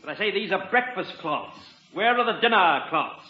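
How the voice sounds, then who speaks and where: An actor playing a haughty, fastidious English butler in a radio comedy, speaking formally with dry sarcasm and an English accent. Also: male voice, sits high, sing-song. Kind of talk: 1950s radio comedy acting. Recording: muffled, echoey, very noisy old radio recording.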